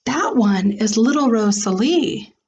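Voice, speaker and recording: A woman's voice: on the high side, expressive, slow, a little mellow, breathy edge, highs cut off, exaggerated voice. A woman voicing a kindly old storybook grandmother who talks in a folksy, assured and encouraging way. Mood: proud, chatty.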